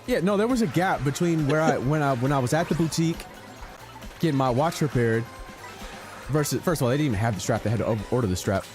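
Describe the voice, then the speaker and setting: A man's voice: mid-range voice, animated, quick pace, darkish tone. A man teasing his friend in profane, casual banter while they play a game on stream. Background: game music.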